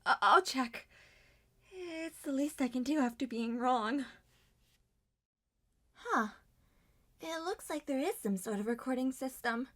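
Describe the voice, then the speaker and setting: A woman's voice: sits high, expressive, measured pace, long pauses, fairly bright tone, heavily acted. A woman voicing an anxious, timid young female cartoon character in an amateur roleplay drama, with a nervous, pleading, stammering manner.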